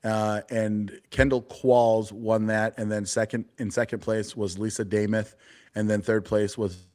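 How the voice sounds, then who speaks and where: A man co-hosting a casual political podcast panel, talking in a relaxed, chatty way with plenty of fillers. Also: on the low side. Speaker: podcast co-host, party committeeman.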